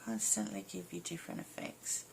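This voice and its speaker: A woman with a slightly hoarse, croaky voice giving a casual step-by-step craft tutorial in short, informal phrases as an instructor. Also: fairly low pitch, lively intonation, moderate pace, darkish tone, a little hiss or hum, poor audio. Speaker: embroidery instructor.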